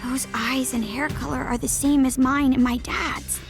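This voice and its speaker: A woman's voice: high voice, steady tone, normal speed, gravelly, music in the background. A woman playing an earnest, startled teenage ninja girl in an anime game dub.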